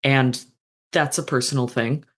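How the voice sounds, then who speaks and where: An interview guest with a mid-range voice speaks as an author reflecting on craft. The talk is informal and thoughtful, feeling its way through ideas with pauses, slang and the odd swear word. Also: mid-range voice, steady tone, fairly bright tone.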